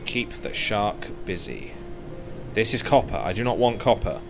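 Streamer guy, low-ish voice, chats to himself over game music on a headset. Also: big pitch swings, unhurried, creaky. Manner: chatty, talks to himself while playing. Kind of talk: casual gameplay commentary.

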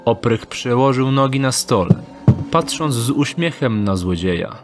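A man narrating an audio drama in a measured, deliberate storytelling manner. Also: mid-range voice, expressive, music in the background.

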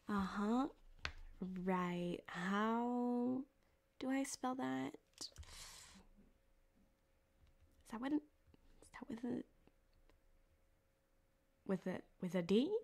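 A woman voicing a flirtatious, sweetly menacing yandere cyberpunk doctor in an ASMR roleplay, soft-spoken and halting, often slipping into a whisper, close on a binaural mic. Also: fairly high pitch, vocal fry, breathy edge, heavily acted. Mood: coy, menacing. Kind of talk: ASMR roleplay addressed to the listener. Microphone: close binaural microphone.

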